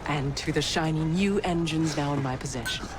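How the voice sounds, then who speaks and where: A woman's voice: deep voice, moderate pace, noisy background, close to an everyday voice. An actress playing a haughty, ruthless Victorian businesswoman in a video game, with a poised, silky, formal delivery. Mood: smug, commanding. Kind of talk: video game cutscene acting.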